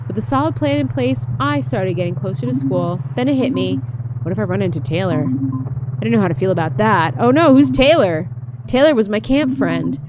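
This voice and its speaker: A woman streaming a game, reading a visual novel aloud and reacting to it in a playful, expressive way. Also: mid-range voice, moderate pace, background noise.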